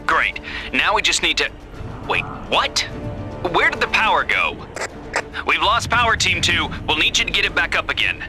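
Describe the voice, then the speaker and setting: Zadziorny wynalazca-superbohater, głos dość wysoki. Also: male voice, on the high side, very animated, sharp tone, soft background music, full character voice. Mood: concerned. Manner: flippant, quick-witted, instructing. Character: glib, sarcastic male superhero inventor.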